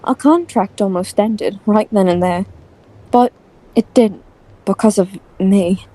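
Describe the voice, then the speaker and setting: A woman's voice: medium pitch, slow pace, mellow. A woman voicing a proud, easily exasperated young aristocratic boy in an audio drama, with a dry, formal, indignant way of talking. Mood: earnest recounting.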